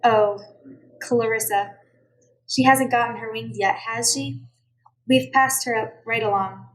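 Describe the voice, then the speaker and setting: A woman acting a calm angel in authority for a radio play. Her voice sits fairly high, and there is a little noise behind it. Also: a little slow, natural voice, rough recording. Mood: calm, deliberate. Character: calm senior angel in charge of heaven.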